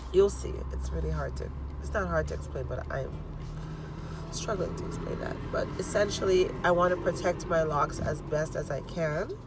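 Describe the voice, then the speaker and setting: A congested woman chatting casually in a vlog, talking her plans through out loud. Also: deep voice, background noise. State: congested from allergies. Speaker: vlogger. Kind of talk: casual vlog chat to camera.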